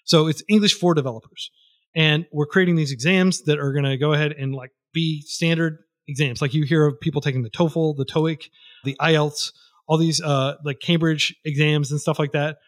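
A man with a somewhat high-pitched voice talks freely as a podcast guest. He rambles and restarts often, stringing thoughts together with fillers. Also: steady tone, normal speed. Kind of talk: casual podcast conversation.

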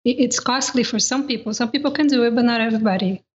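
A woman's voice: a little high, quick pace. A woman whose native language is Portuguese, speaking English earnestly and emphatically as a podcast co-host in a casual panel chat. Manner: earnest, emphatic, tells personal preferences. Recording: dull lo-fi remote audio.

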